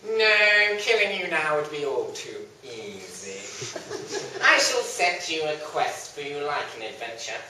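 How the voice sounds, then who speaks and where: Storyteller doing a cruel taunting knight, super sharp and pompous, big echo, bit far from mic. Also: mid-range voice, big pitch swings, measured pace, exaggerated voice. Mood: gloating threat. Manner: sneering, grandiose, taunting. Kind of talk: theatrical live storytelling. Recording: very echoey room, distant mic, faint noise.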